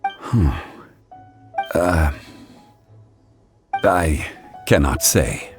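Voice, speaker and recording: An ancient god in human form for a fantasy game. The male voice is very low, calm and stately, with formal, measured phrasing and solemn wisdom over background music. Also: full character voice. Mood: calm, regretful. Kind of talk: dramatic anime game acting.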